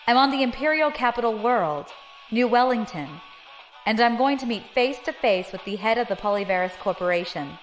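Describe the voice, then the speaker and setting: A woman's voice: fairly high pitch, lively intonation, a little fast, soft background music, natural voice. A woman acting a brash, easygoing young space pirate in an audio drama, with slangy, casual speech. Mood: resolute, nervous. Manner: slangy, casual buddy talk.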